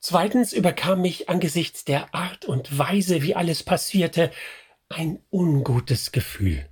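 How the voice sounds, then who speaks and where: A man narrating a radio drama in the first person, measured and reflective. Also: fairly high pitch, animated, darkish tone, slightly rough, exaggerated voice. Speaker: narrator character.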